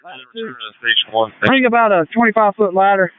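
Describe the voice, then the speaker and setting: A man's voice: sits high, brisk, clean tone. A man working as a fire officer, giving clipped, directive radio traffic over a two-way radio. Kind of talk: terse fireground radio traffic. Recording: noisy, tinny two-way radio transmission.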